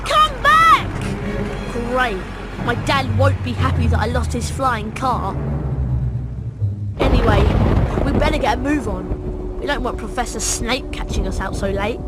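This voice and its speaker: An actor voicing an excitable young English schoolboy wizard in a video game, exclamatory and chatty. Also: medium pitch, measured pace, long pauses, loud music behind the voice. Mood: grumbling.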